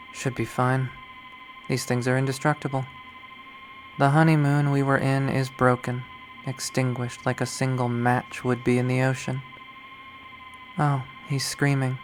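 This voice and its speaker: A man plays a jaded young woman artist from a visual novel, bitter and unhinged. The delivery is a rambling, profane confession at a middling pitch. Faint music plays underneath. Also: natural voice. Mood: detached. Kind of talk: dramatic visual novel readthrough.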